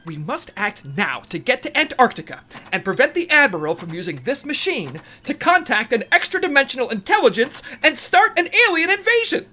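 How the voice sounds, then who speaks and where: A woman's voice: sits high, big pitch swings, brisk, hardly any pauses, sharp tone, full character voice. A woman playing an earnest, diplomatic special agent in an audio drama, formal and urgent.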